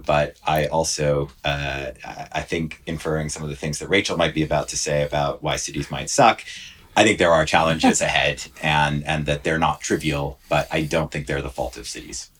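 A man who is a professor and podcast guest, talking in a relaxed way with wry asides. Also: extremely low pitch. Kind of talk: casual podcast chat.

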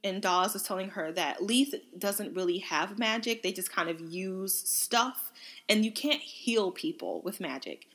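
A woman talks as a book reviewer hosting her own podcast. Her delivery is casual and conversational, moving between retelling and analysis. She sometimes slips into informal renditions of what characters say. Her pitch sits in a middle range for a woman. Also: lively intonation, measured pace, fairly bright tone. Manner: conversational, acts out quotes informally.

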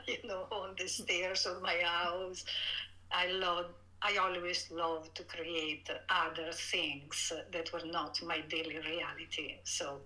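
Bright-voiced Italian-accented woman author. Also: lowish voice, poor audio. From Italy. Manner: laughs often while talking, frequent fillers and restarts. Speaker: author guest. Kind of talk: interview conversation.

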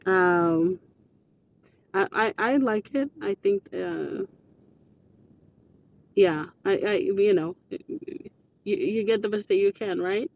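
A woman's voice: medium pitch, takes it slowly, creaky, pauses often. Woman from ethiopia, amharic first language, stammering, many ums, muffled lo-fi call, faint hiss. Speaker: guest caller. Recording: dull lo-fi call with faint noise.

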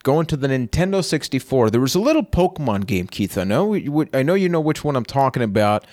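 A man from Puerto Rico with a Puerto Rican accent, co-hosting a casual podcast chat and telling animated stories. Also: mid-range voice, fast, no room sound, studio quality. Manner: animated storyteller, laughs often. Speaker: podcast co-host.

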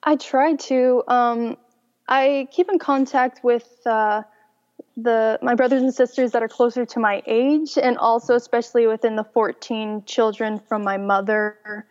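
A woman's voice: a little high, steady tone, unhurried, a little mellow. A young woman from Mexico, a guest sharing her life story in a friendly conversation and laughing easily. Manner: cheerful, laughs often. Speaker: interview guest. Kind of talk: personal testimony in conversation. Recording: dull audio with long reverb.

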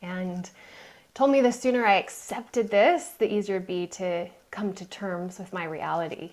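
A woman's voice: fairly low pitch, moderate pace, a little mellow. A woman guest on a podcast, telling her life story in an earnest, emphatic way. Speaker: guest, mind coach. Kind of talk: interview storytelling.

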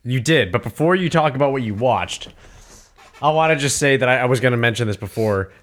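A man's voice: mid-range voice, lively intonation, brisk. A man co-hosting a casual podcast, enthusiastic and teasing, giving advice with confidence. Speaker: podcast co-host.

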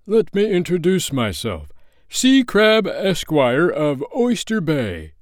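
A mild little gentleman crab acted by a man, formally polite in every phrase. He speaks very slowly, stretching his words with a wide, swooping melody, as a storybook creature in a dry recording. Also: a little high, huge pitch swings, no room sound, voice pushed up, exaggerated voice. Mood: courteous. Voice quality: mild, small voice. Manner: slow, drawn-out, formally polite. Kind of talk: storybook character voice.

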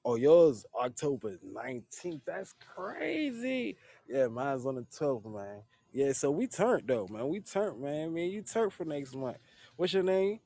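A man's voice: on the high side, sing-song, a little slow, gravelly, mellow, no echo, studio quality. A man in his thirties chatting casually on a livestream, laid-back and earnest, often tagging "man" onto the end of his sentences. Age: 30s. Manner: laid-back, repeats "man" at line ends. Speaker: livestream host. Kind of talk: casual livestream chat with viewers.

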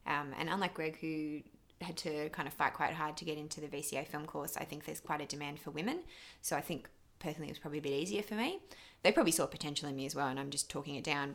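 A woman's voice: low voice, creaky, fairly bright tone. A young woman with an Australian accent giving casual interview answers, candid and self-deprecating as she rambles through her thoughts. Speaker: interview guest, actor and filmmaker.

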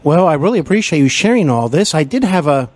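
A man's voice: a little high, big pitch swings, fast, darkish tone, dry recording. An American man hosting a conversational interview in a courteous, effusive and wordy manner. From Cincinnati, Ohio, United States. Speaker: podcast host and interviewer.

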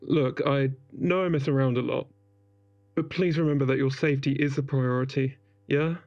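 A man playing a caring, steady medical colleague who assists with a procedure in a sci-fi audio drama, earnest and reassuring. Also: medium pitch, moderate pace, highs cut off, lightly acted. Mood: concerned. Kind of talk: science-fiction audio drama.

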